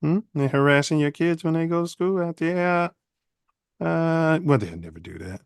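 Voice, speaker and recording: A man hosts a casual podcast, rambling along in loose slang. His voice is smooth and clear. The sound is dry and close. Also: a little high, lively intonation, normal speed, no room sound. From Chicago. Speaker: podcast host. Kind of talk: casual livestream podcast chat.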